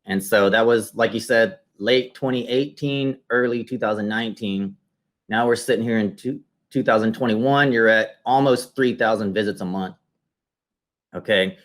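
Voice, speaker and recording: A man's voice: fairly low pitch, moderate pace. A man interviewing a client and conversationally explaining results on a shared screen, step by step. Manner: explanatory, walks listener through results step by step. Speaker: interviewer and marketing consultant.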